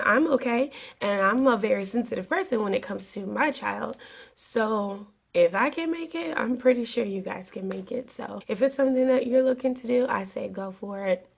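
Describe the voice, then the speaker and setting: A young woman with a raspy voice, getting over a cold, rambling earnestly through a casual advice vlog to her camera. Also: mid-range voice. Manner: rambling, earnest, with many fillers. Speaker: flight attendant vlogger.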